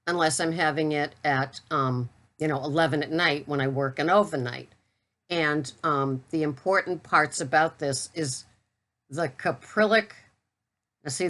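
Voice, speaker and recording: A woman's voice: low-pitched, a bit bright. An older woman putting on a playful Boston accent while chatting through her everyday products. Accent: Boston, put on for fun. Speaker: keto vlogger.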